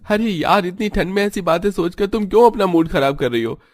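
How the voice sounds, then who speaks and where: Irritated young husband, family cartoon played by a man: whiny, exasperated, pitched well above a normal male talking voice. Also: animated, brisk. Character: Ravi, irritated young husband wanting his sleep. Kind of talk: Hindi family cartoon dialogue.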